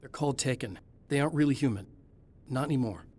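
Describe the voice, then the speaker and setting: A man's voice: mid-range voice, fast talker, a little mellow, natural voice. An actor playing a weary, determined male horror novelist with a dry, matter-of-fact delivery in a game cutscene. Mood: wry. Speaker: writer, protagonist.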